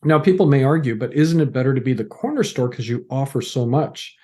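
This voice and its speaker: A man's voice: medium pitch, expressive, fairly quick, warm tone. A middle-aged man from Canada, a podcast guest talking casually, explaining ideas through stories and analogies with frequent restarts. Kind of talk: casual interview conversation.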